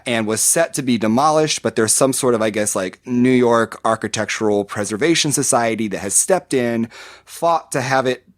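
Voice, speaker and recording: A man's voice: fairly low pitch, no echo, studio quality. A man, a podcast guest, describing a theme park ride in a rambling casual way with many fillers and restarts.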